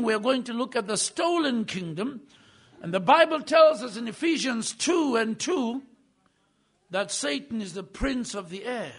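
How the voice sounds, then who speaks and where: A man preaching with a very high, bright, ringing voice. He hammers points home with sudden loud bursts, then drops into quiet asides. He is a visiting preacher at the pulpit. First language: not English. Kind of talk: sermon.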